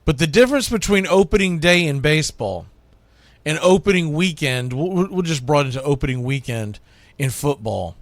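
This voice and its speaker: A middle-aged man with a slightly high voice, recorded close and dry. He talks like a sports radio host making his case, emphatic on the key points and folksy in his asides. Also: no echo. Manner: emphatic, announces each item loudly then drops into quieter musing. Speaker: radio host and baseball play-by-play announcer. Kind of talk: opinionated sports monologue counting down a list.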